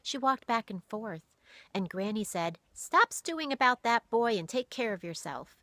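A woman putting on a wide-eyed young girl who keeps her voice low and breathy, as if telling secrets at night. It has a bright edge and sits close in a dry storybook reading. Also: expressive, moderate pace, no room sound. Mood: worried. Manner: whispering.

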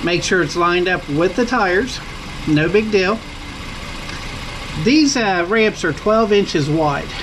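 A man's voice: high-pitched, lively intonation, takes it slowly, pauses often, noisy background. A man hosting his own channel, casually demonstrating car ramps outdoors in a folksy, plain-spoken, step-by-step way. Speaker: channel host reviewing tools.

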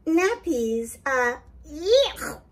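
A woman's voice: high voice, big pitch swings, extremely slow, voice pushed up, full character voice. Woman putting on a fussy little princess. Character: whiny little girl princess.

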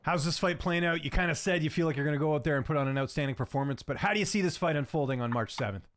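A man in his thirties hosting an interview, asking questions in a brisk, friendly way. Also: fairly high pitch, fairly bright tone. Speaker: interview host.

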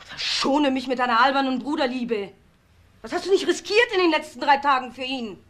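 High-voiced, scornful, ambitious wife. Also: female voice, brisk, a bit bright. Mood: defiant, scornful. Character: ambitious, defiant, scornful daughter-in-law. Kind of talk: German crime radio drama acting.

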